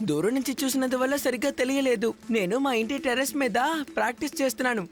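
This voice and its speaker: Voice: high-pitched, fast talker, close to an everyday voice. Earnest young boy hero voiced by an actor, music behind. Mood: curious, urgent. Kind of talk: children's cartoon dubbing.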